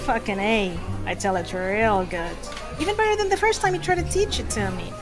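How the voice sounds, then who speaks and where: A woman's voice: mid-range voice, animated, fairly quick, over music, natural voice. A woman acting in an audio drama as a slangy, foul-mouthed undercover cop spinning a street story. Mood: guarded, questioning. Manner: slangy, profane, exasperated storyteller. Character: male undercover cop rehearsing a foul-mouthed pot dealer's anecdote.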